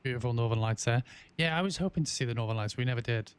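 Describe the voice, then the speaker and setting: A man hosts a live game stream, chatting loosely with viewers as he plays. His voice is clean and smooth at an ordinary middle pitch. He thinks aloud, breaking off with ums and trying his sentences again. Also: very fast. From England. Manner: chatty, reads out and answers viewer chat with many ums and restarts. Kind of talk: casual live-stream chat while playing.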